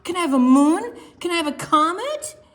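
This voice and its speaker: Storybook penguin, young, timid but excitable, high and lively; female reader puts it on. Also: moderate pace, heavily acted. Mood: excited.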